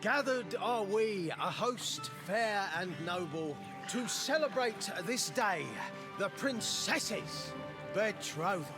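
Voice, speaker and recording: A man's voice: sits high, expressive, takes it slowly. An actor playing a scheming male page, a villain in a stage play in a video game, delivering rhyming verse in a sly, theatrical manner. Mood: ceremonious. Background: game music.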